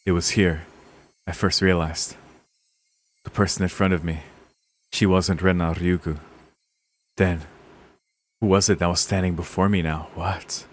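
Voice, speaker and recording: Low-voiced man reading a visual novel. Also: little pitch movement, unhurried, long pauses. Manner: dramatic, sweary reactions. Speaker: streamer playing a visual novel. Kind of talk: reading game narration aloud with casual reactions. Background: game music.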